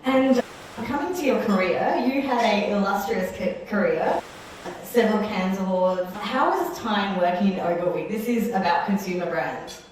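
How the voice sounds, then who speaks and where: A woman hosting a startup show, reading a measured scripted intro and sponsor lines, then asking interview questions. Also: mid-range voice, lively intonation, a little mellow, a little hiss or hum, poor audio. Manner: measured, reads sponsor copy. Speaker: podcast host.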